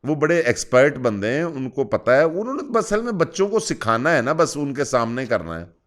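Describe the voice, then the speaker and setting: A man's voice: medium pitch, big pitch swings, a little fast, a bit bright. A man with a Pakistani accent making a prank phone call, explaining things in an insistent, reassuring, matter-of-fact way. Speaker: prank caller.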